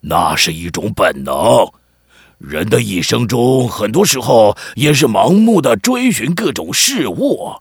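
A man's voice: mid-range voice, very animated, unhurried, husky, dry recording, clean studio sound, full character voice. A man voicing a theatrical middle-aged village chief, a long-winded, avuncular storyteller in a children's radio drama. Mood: dramatic narrating. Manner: theatrical, mock-solemn, avuncular.

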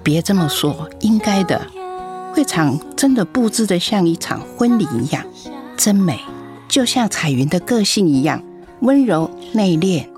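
Lowish, comforting middle-aged auntie. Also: female voice, animated, unhurried, over music. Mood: tender, admiring. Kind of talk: Mandarin radio drama acting.

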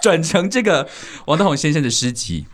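A man's voice: a little high, lively intonation, fairly quick, a crowd in the background. A man hosting a podcast in a casual, playful, teasing way, chatting to guests and listeners. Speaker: podcast host.

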